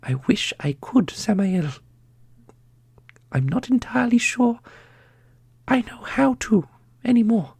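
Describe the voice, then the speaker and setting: Man voicing a very high, gentle ancient custodian spirit. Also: sing-song, slow, vocal fry, pauses often, breathy edge, no room sound, heavily acted. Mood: sorrowful. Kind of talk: ASMR fantasy roleplay acting.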